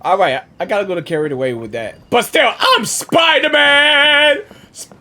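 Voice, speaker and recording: Excitable, bright-voiced woman reacting to games. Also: medium pitch, moderate pace. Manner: excitable, slangy, playful shouting. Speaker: gaming reaction streamer. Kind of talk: casual excitable game reaction commentary.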